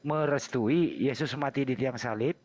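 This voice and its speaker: A man from South Sulawesi in a religious debate, breaking his questions down slowly and rephrasing them step by step. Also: mid-range voice, a little mellow. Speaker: debate participant.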